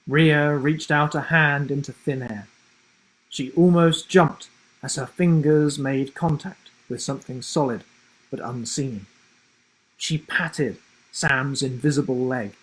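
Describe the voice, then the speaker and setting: Slow, husky man reading a storybook. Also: mid-range voice, fairly bright tone, low-quality recording. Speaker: author reading his children's book. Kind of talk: expressive storybook narration.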